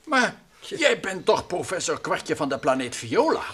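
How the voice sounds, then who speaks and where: A man's voice: on the high side, sing-song, a little slow, fairly bright tone, husky, full character voice. A male actor playing a sceptical, indignant, easily flabbergasted man who blusters and exclaims in a children's radio play. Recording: old radio recording with faint noise.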